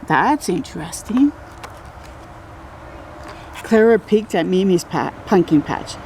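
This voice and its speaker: Slow, swooping woman reading to children. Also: fairly low pitch, animated, warm tone, a little hiss or hum. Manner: exclaims and comments encouragingly, drawing out key words. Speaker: children's read-aloud storyteller. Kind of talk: expressive picture-book reading with friendly asides to young listeners.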